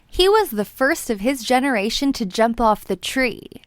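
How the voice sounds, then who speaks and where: A woman's voice: a little high, lively intonation, normal speed, dry recording, clean studio sound. A woman narrating a children's storybook expressively, with playful, dramatic emphasis. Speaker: children's book read-aloud presenter.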